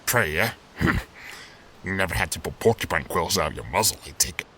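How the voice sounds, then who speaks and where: A man's voice: low voice, animated, a little fast, bright, forceful tone, breathy edge, full character voice. A man voicing an older animal uncle, an earnest, hopeful and weathered mentor, in a dramatic fandub. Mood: patient, sincere.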